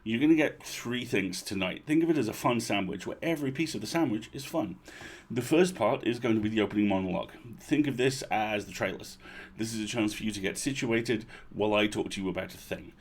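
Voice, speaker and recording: A man hosting a livestream with casual, chatty introductions and playful, animated asides. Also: fairly low pitch, fairly quick. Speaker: livestream host.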